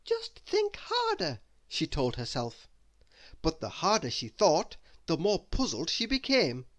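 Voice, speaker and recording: A children's storybook narrator with a mid-range voice. The delivery is animated and expressive, with emphasis placed for young listeners. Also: medium pitch, a little slow. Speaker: storybook reader. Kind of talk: children's read-aloud narration.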